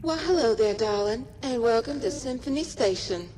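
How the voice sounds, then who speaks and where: A woman's voice: fairly high pitch, animated, normal speed, mellow, slight background noise, close to an everyday voice, rough recording. A woman playing a chirpy, eager-to-please station-attendant machine in a video game, with folksy, sing-song hostess patter. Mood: cheery, welcoming.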